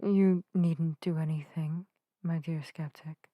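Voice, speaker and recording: A woman playing a gentle, earnest witch healer in an ASMR audio roleplay, soft-spoken and soothing with old-fashioned, formal phrasing. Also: low voice, fairly even intonation, slow, no echo, lo-fi sound. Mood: apologetic, soothing. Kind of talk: narrative ASMR roleplay addressed to the listener. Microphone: close microphone in a dry space.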